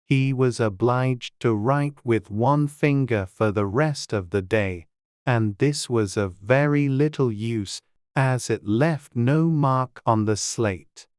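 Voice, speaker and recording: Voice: medium pitch, slow pace, a little mellow, no echo, studio quality. An audiobook narrator reading a scripted storybook.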